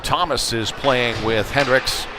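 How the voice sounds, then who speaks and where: A man's voice: mid-range voice, takes it slowly. A man doing live play-by-play commentary of an ice hockey game, following the play in conversational, clipped running phrases. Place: indoor ice hockey rink. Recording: noisy live rink stream, loud background noise. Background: loud rink noise.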